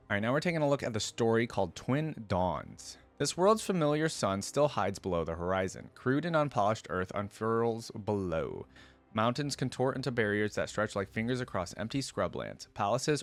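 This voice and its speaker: A man reading a story aloud as a reaction creator, breaking into casual commentary to pick it apart. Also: fairly low pitch. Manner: stops to dissect and paraphrase what he reads. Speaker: video creator reacting to game lore.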